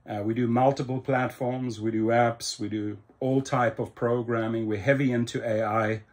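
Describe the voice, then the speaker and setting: A man's voice: mid-range voice, fairly even intonation, a little mellow. A middle-aged man with a South African accent, talking casually to camera in a room to promote his channel, upbeat and salesy with lots of ums. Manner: upbeat, salesy, with many ums and slow emphatic phrases. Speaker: YouTube channel host. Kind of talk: casual promotional vlog talk. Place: indoor room in front of a computer screen.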